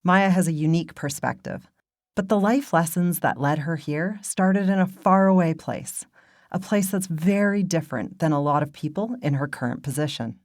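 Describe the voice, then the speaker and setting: A woman with a deep, low voice narrates a podcast from a script. Her delivery is polished and measured. The sound is close and dry, with no room around it. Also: a little mellow, dry recording. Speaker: podcast host.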